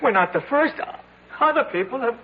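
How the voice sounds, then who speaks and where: A man's voice: very high voice, lively intonation, normal speed, slightly rough, heavily acted. An actor playing an anxious, panicky man who stammers and repeats himself in a vintage radio drama. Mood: panicked. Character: panicky, anxious husband lost in a dark cave. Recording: muffled radio recording with faint noise.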